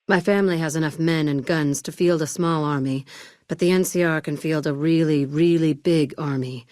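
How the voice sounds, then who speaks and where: An actress playing a cool, ruthless businesswoman in a video game, speaking in a curt, measured, condescending way. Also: deep voice, steady tone, a little mellow, dry recording, studio quality, lightly acted. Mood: measured, disdainful. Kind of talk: video game character dialogue.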